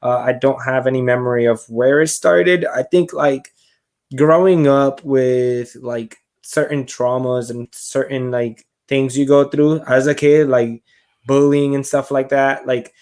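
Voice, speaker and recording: A man from Puerto Rico talks casually as a podcast guest. His pitch is average, and his phrasing is loose and informal, feeling its way through each thought. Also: fairly even intonation, unhurried, a little mellow. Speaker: podcast guest, reptile keeper. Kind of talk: casual chat.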